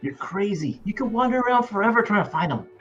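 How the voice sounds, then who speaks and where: An eager, frustrated farm boy acted out by a man at a table read, boyish and excitable. The pitch swings widely from line to line. The sound is slightly dull and lo-fi, with faint music behind it. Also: fast. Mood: incredulous. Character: restless young farm boy, eager but stuck at home. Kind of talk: amateur table read of a film script.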